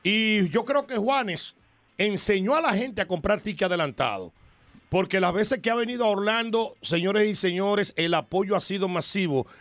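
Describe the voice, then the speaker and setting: A man's voice: sits high, animated, normal speed, a bit bright, dry recording. A man co-hosting a live radio interview, emphatic and enthusiastic, addressing the audience directly. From Dominican Republic. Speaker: radio co-host.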